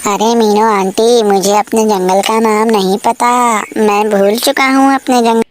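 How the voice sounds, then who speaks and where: A woman's voice: medium pitch, fairly even intonation, a little slow, a little hiss or hum, natural voice. Cartoon parrot chick, young male, apologetic and timid; woman performer.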